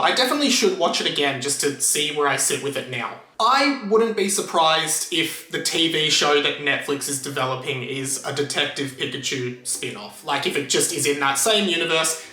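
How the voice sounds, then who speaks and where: Casting: Australian male vlogger, enthusiastic and chatty, forceful bright tone, recorded in an echoey room. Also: a little high. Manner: enthusiastic, conversational. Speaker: YouTube host. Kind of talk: casual pop culture commentary to camera. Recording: roomy echo, music underneath.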